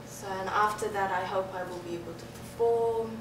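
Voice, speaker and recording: A woman's voice: medium pitch, fairly even intonation, moderate pace, recorded from a distance. A young woman from South Korea, a native Korean speaker with a British-influenced accent, modestly and hesitantly answering interview questions as a musician in a noisy, roomy hall. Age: young adult. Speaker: double bassist, concert soloist being interviewed. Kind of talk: onstage interview answers to an audience. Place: concert hall stage.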